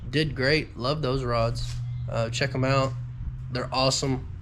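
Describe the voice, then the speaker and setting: Guy fishing vlogger, young, nasal from being sick, mid pitch, rambling with ums, faint noise behind. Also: fairly even intonation, slow. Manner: rambling, frequent ums and restarts. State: getting over an illness, a little nasal. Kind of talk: casual talk to camera.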